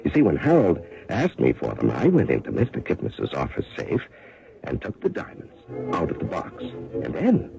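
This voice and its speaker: Radio play swindler: low, animated, hesitant and coy, with gravel under a mild manner. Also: male voice, moderate pace, full character voice. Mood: sly, confessing. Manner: hesitant, coy, swooping emphasis. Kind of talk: old-time radio drama acting. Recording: dull vintage radio recording with music.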